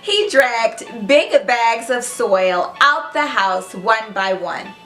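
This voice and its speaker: A woman hosting a children's read-aloud, narrating in an animated, expressive storybook style and speaking directly to young listeners. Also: a little high, takes it slowly, roomy sound, soft background music.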